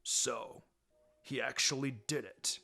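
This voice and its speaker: A narrator voicing a gruff small dog who leads a ninja pack, with music behind. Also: medium pitch, takes it slowly, pushed and bright, voice pushed down, full character voice. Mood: grudgingly impressed.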